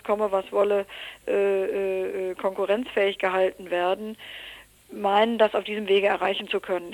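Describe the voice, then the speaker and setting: A woman's voice: mid-range voice, no room sound, low-quality recording. A woman answering as an expert guest in an interview, in long qualified sentences with searching, hesitant phrasing.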